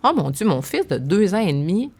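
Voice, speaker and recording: A woman in her thirties with a Québécois accent, chatting as a podcast guest and telling animated stories with many restarts. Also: lowish voice, brisk, husky. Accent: Québécois French. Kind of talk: casual podcast chat.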